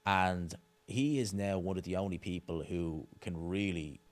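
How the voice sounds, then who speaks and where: A man's voice: sits low, measured pace. A man, a podcast guest talking boxing emphatically and mimicking the people he discusses, in a studio. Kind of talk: casual boxing chat. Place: studio set with dark curtains. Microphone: desk mic on boom arm.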